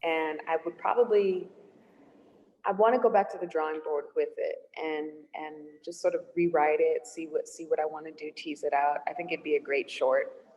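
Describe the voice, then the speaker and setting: Woman with even pitch in long reverb. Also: normal speed. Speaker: screenwriter. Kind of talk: casual Q&A discussion of their own writing. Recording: long hollow room reverb.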